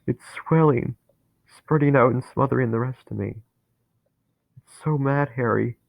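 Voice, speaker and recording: A man voicing a dying young man who is terrified and bitter, talking in a strained, pleading way that rises to anguished shouts. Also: fairly low pitch, steady tone, slow pace, gravelly, no echo, full character voice. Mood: growing dread. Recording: tinny phone-like, dry sound.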